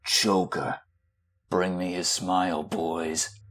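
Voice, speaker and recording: Guy doing a lowish slow threatening gangster boss, short fuse, comic dub. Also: little pitch movement, fairly bright tone, exaggerated voice. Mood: shocked anger. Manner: threatening, domineering. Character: hot-tempered male mob boss. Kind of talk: comic book dub acting.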